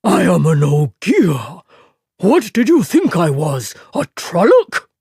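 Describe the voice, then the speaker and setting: A woman's voice: lowish voice, huge pitch swings, slow, gravelly, a little mellow, slightly rough, dry recording, clean studio sound, exaggerated voice. Woman doing an indignant giant Ogier. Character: huge, proud, indignant male Ogier.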